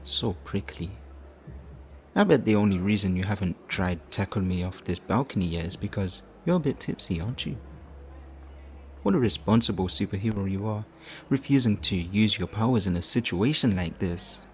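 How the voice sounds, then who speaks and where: A man playing a flirtatious, theatrical supervillain leader in an audio roleplay, smug and righteous, with teasing, drawn-out phrasing. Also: on the low side, slight background noise, lightly acted. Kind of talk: audio roleplay addressed to the listener.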